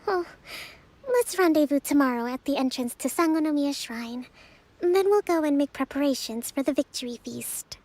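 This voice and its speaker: A woman playing a gentle, composed young island leader in an anime game, softly polite and measured. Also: high-pitched, no room sound, natural voice. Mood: kindly.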